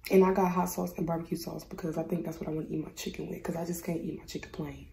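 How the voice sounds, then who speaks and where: A woman's voice: deep voice, steady tone, rapid-fire, creaky, warm tone, slight background noise. A young woman in her twenties from Ohio, chatting casually to viewers as she cooks in her home kitchen, often calling them "y'all". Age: 20s. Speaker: vlogger. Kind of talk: casual cooking vlog talk.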